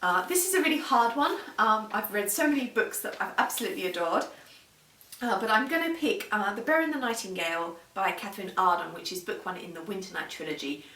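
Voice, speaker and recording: A woman's voice: mid-range voice, expressive, brisk, pushed and bright, a little hiss or hum. A woman giving a casual, enthusiastic, chatty book vlog to camera. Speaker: book vlogger. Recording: roomy echo, distant microphone.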